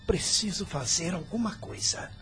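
An actor playing a greedy, scheming son in a radio drama, controlled on the surface and bitter underneath. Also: male voice, sits high, animated, a little slow, a bit bright, slight background noise. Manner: polite to others, bitter and scheming alone.

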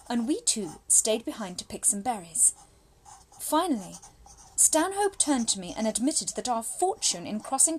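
High-voiced, anxious young Victorian gentlewoman, acted by a woman. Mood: incredulous, confiding. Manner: formal, genteel, confiding, expressive phrasing. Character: anxious, spirited young Victorian gentlewoman confiding in her brother. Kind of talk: dramatic monologue reading of private letters.

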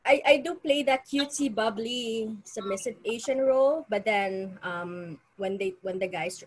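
A woman's voice: mid-range voice, rough recording. A woman from the Philippines with a Filipino accent, a guest telling stories in a casual panel chat, laughing often and acting out quotes. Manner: laughs often, animated storytelling with acted quotes. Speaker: guest, stripper.

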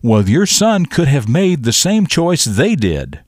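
A man playing a firm, principled youth baseball coach in a radio story, measured and moralizing. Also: on the high side, huge pitch swings, no room sound, clean studio sound, pitched above the performer's own voice, full character voice.

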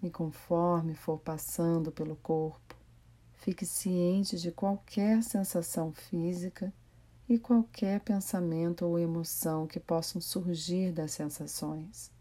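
Brazilian female meditation guide, gentle and mellow, very slow delivery with long pauses. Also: fairly low pitch, fairly even intonation. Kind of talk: guided body scan meditation.

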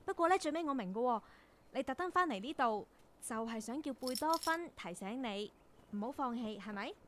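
A woman's voice: high-pitched, animated, normal speed, fairly bright tone, slight background noise. A woman acting in a Cantonese radio drama as a perky, plucky young intern, chatty, blunt and full of exclamations. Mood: sympathetic. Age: young adult.

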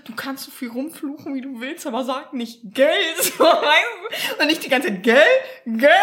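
A young woman co-hosting a casual podcast chat, loud and laughing, with frequent swearing. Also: high-pitched, expressive, measured pace, a little hiss or hum. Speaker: podcast co-host.

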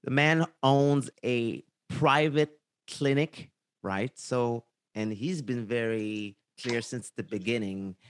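A man's voice: mid-range voice, slow pace, smooth, no room sound. Earnest man, dry sound. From Haiti. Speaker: guest. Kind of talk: casual interview chat.

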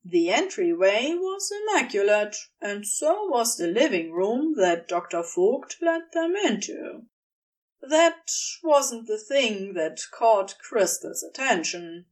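A woman's voice: fairly high pitch, very animated, takes it slowly, distant mic. A woman narrating a scripted story, recorded slightly away from the microphone.

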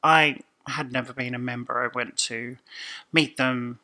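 A woman, a podcast guest talking casually and thoughtfully, often pausing and restarting. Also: a bass voice, steady tone, sharp tone. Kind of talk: casual conversation.

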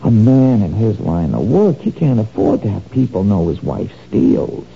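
A gravelly, sly blackmailer. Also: male voice, fairly low pitch, big pitch swings, moderate pace, mellow. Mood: menacing. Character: smooth menacing male blackmailer, sly crook. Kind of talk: 1970s radio drama acting. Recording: dull lo-fi old radio recording, faint noise.

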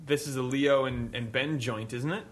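A man's voice: medium pitch, fairly even intonation, moderate pace. A man hosting a casual gaming podcast, teasing the others and moving the topics along. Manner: teasing, moves the show along. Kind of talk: casual group chat about games.

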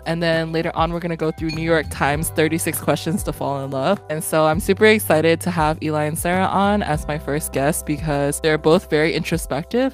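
Young woman podcast host, lowish voice, earnest and chatty, soft music behind. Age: 20s. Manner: warm, earnest, often laughing, many fillers. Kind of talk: casual podcast chat.

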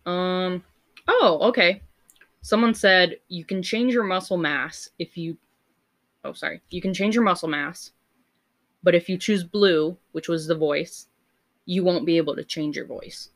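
A young woman with a low voice co-hosting a casual podcast, reading out would-you-rather questions and laughing often. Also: a little slow. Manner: giggly, loose and chatty. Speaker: podcast co-host.

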